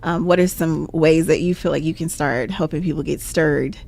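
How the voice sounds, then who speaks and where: A woman in her early forties, a co-host who encourages the others and asks questions in a relaxed talk. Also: sits low, normal speed, gravelly, slight background noise. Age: 40s. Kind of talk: casual chat.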